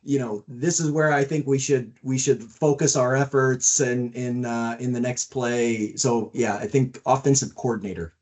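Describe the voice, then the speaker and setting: A man's voice: mid-range voice, fairly even intonation, normal speed, few pauses, darkish tone. A man on a panel, speaking conversationally and often checking in with "right?". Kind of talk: panel discussion.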